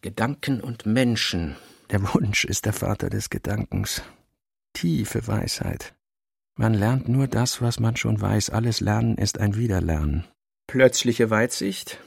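Brooding male novelist, gravelly and deliberate, close dry sound, literary radio drama. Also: fairly low pitch, a little mellow, no room sound, exaggerated voice. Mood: pensive, intent. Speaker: radio play reader. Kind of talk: literary radio reading of narration and working notes.

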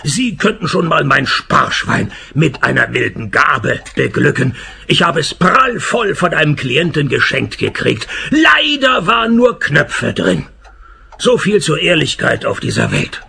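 An actor playing a broke, cynical private detective in a comic noir radio play, deadpan and sardonic. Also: male voice, fairly high pitch, measured pace, vocal fry, breathy edge, heavily acted. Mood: brash, mocking. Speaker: narrator and lead actor.

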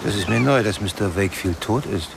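An actor playing a mild middle-aged father in a radio crime drama, gently probing with short questions and replies. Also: on the low side, brisk, vocal fry. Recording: very noisy track with surf-like ambience.

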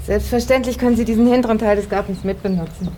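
A woman acts a self-assured, affluent lady of the house in a 1980s radio play. Her voice sits at an average pitch, in a roomy, audibly noisy recording. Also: fairly even intonation, fast, dark tone, natural voice. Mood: gracious. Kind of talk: German radio play acting.

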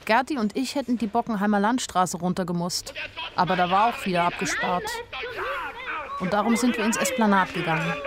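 An actress playing a naive, sharp-eyed young woman who tells her own story in a radio play, artless and plain-spoken. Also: mid-range voice, close to an everyday voice. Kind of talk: first-person radio play narration.

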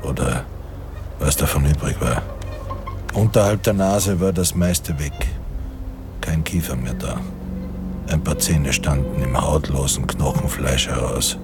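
A man's voice: rumbling low, unhurried, pauses often. A man narrating a wartime radio drama in a grave, literary manner. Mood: grave, measured. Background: music.

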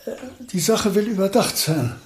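An actor playing a gentle, absent-minded elderly genius professor in a radio play, speaking with hesitant, ponderous courtesy. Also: male voice, high-pitched, normal speed, close to an everyday voice. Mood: evasive, polite.

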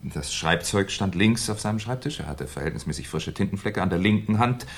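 Deep sly detective in an old radio play, super polite but needling, voice swings around a lot. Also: male voice, animated. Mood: dry, probing. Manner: ironic, mock-courteous, deliberate. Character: sardonic, shrewd Paris police inspector, needling with mock politeness. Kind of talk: 1970s German radio crime drama acting.

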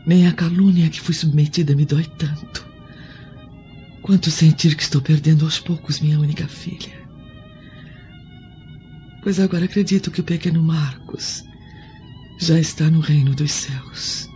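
A woman's voice: low voice, very animated, normal speed, long pauses, mellow. An actress playing a sorrowful, submissive Roman wife and mother in a radio drama, speaking in a plaintive, formal way. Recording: dull band-limited audio with music behind.

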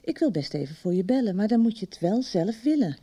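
An actress playing a patient, supportive woman in a radio drama, gentle and encouraging. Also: medium pitch, big pitch swings, a little fast, husky. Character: patient, supportive female helper coaxing a friend. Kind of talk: 1990s Dutch radio drama acting.

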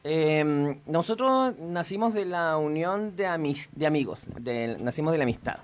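A man's voice: on the high side, expressive, unhurried. A Chilean man, a theater actor guesting on the radio, giving thoughtful, rambling explanations. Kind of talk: radio interview.

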